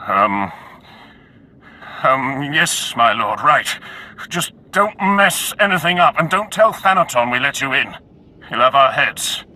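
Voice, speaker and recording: An actor playing an officious, easily rattled guard in a game. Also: male voice, medium pitch, lively intonation, slow pace, heavily acted. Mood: nervous. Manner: forceful, officious. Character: officious, nervous male guard bluffing at superiors.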